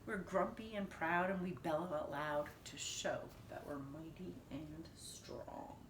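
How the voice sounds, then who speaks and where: A woman's voice: low voice, steady tone, measured pace, recorded from a distance. A woman voicing a grumpy, proud walrus from a children's storybook, boastful and emphatic. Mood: grumpy pride. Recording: roomy echo with faint noise.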